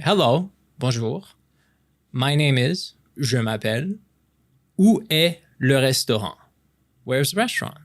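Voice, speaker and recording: Male actor as boyish best-friend love interest for romantic ASMR roleplay; French Canadian accent, medium pitch, casual, playful, halting delivery. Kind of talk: intimate romantic ASMR roleplay, improvised-sounding casual chat.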